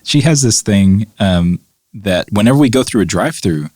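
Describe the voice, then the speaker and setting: Low-voiced man, lively storyteller. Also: normal speed, dry recording, studio quality. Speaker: podcast co-host. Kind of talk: casual chat.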